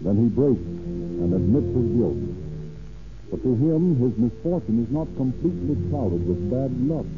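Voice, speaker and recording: A man's voice: medium pitch, sing-song, a little slow, over music. A man working as narrator and announcer, reading scripted copy in 1930s radio style. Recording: tinny, narrowband old radio recording with hum.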